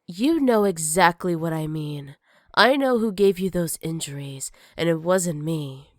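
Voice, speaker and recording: A woman's voice: lowish voice, no room sound, clean studio sound, natural voice. A woman playing a jealous, possessive villain with magic, teasing and menacing in a sultry ASMR roleplay. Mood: cool, accusing.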